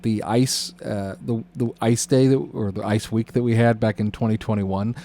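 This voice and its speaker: A man hosting a casual podcast interview, talking in a halting, rambling way with roundabout questions. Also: lowish voice, steady tone, mellow.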